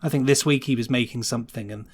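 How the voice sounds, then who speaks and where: A man with a medium-pitched voice talks as a guest in a relaxed interview. He is chatty and enthusiastic, and likes to tag questions onto what he says. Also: quick pace, a little hiss or hum. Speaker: podcast guest, sound designer. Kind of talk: casual interview chat.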